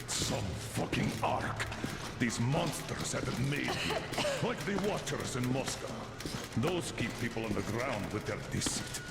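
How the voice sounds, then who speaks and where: An actor playing a gruff, bitter military commander in a video game, talking in a brusque way, swearing and barking orders. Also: male voice, medium pitch, animated, measured pace. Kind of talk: video game cutscene acting. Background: loud game score music.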